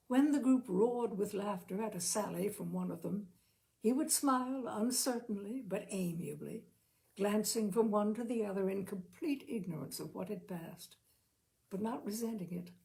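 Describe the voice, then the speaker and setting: A woman narrating a literary short story expressively, with measured, careful phrasing. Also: medium pitch, gravelly, dark tone. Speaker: audiobook reader, storyteller.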